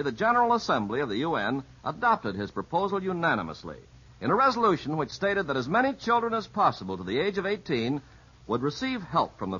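A man's voice: fairly high pitch, bright, forceful tone, slightly rough. A man reading scripted narration as a 1950s radio announcer. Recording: dull vintage radio recording.

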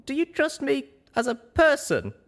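A man voicing an anxious, earnest young female pony in an audiobook story, squeaky when flustered. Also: wildly swooping pitch, a little slow, heavily acted. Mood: anxious questioning.

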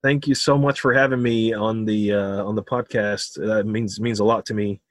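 A man's voice: on the low side, moderate pace, no room sound, clean studio sound. Man with an American accent. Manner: relaxed, laughs often, repeats words. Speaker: bodybuilding coach and guest. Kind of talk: casual podcast interview.

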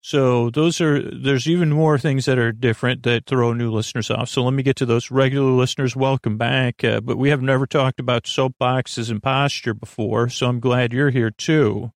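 Guy rambling on a bedtime podcast, gravelly creaky voice, real dry close sound, keeps circling back and losing the thread. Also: mid-range voice, steady tone, no echo. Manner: meandering, halting, repeats and trails off mid-thought. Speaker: podcast host. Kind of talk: rambling, unscripted sleep podcast monologue.

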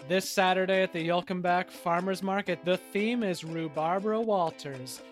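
A man's voice: high voice, close to an everyday voice. A man playing an earnest, grandiose small-town island mayor in an improvised audio comedy, speaking with mock-solemn sincerity. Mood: bright, announcing. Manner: halting, rambling, sincere, drawn-out words.

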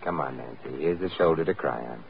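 An actor playing a suave, wisecracking, tough but gallant adventurer in a 1940s radio drama, with dry, wry banter. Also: male voice, very deep voice, brisk, vocal fry, full character voice. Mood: reassuring, wry. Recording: muffled, narrow, noisy old radio recording.

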